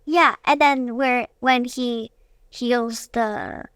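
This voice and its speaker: A young girl answering interview questions casually, in a hesitant, halting way. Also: a little high, fairly even intonation, a bit bright, smooth, no echo. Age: child.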